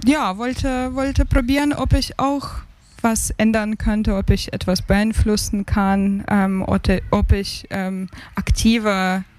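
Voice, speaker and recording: Woman, thirties, Ukrainian accent, slow pace, hesitant, searching for words, interview guest. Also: mid-range voice, fairly even intonation, a little mellow. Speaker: interview guest, advisory board member. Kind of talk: spontaneous interview answers.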